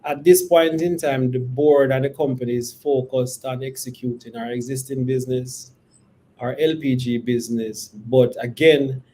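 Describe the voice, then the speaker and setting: Exec guy on an investor q&a, soft mellow tone, picks words carefully, bit of noise behind him. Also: mid-range voice, moderate pace. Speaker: company executive. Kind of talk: answering investor questions on an earnings call. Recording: steady background noise.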